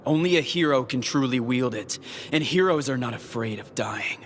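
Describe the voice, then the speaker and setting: A man's voice: mid-range voice, lively intonation, moderate pace, slight background noise, natural voice. A man voicing a proud, resolute heroic leader in an anime-style video game, grave and determined. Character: resolute, proud male hero leader bargaining for power.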